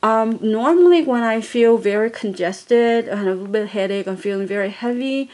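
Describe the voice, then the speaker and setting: A woman's voice: a little high, animated, normal speed, darkish tone. Chatty woman reviewing a gadget. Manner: chatty, rambling, many fillers and restarts. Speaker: product reviewer. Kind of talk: casual product review.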